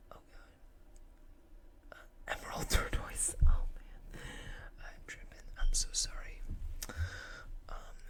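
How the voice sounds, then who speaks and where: A whispered ASMR voice with a very slow, unhurried delivery. The host reads card text softly and drops in casual asides, with a bright, breathy edge to the whisper.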